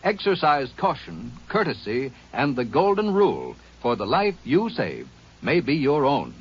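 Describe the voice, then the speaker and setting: Announcer guy reading a road safety plug, husky voice, muffled old radio. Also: mid-range voice, measured pace. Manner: earnest, lively delivery. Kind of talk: scripted public service announcement. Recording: dull old radio broadcast.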